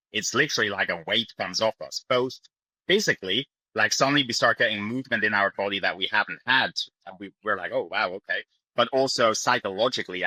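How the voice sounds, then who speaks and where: Podcast guest, male, mixed accent with Irish influence; low-pitched but very bright, forceful delivery, earnest and emphatic. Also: no echo, low-quality recording. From Ireland. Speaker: podcast guest, bodybuilding coach. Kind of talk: conversational interview explanation.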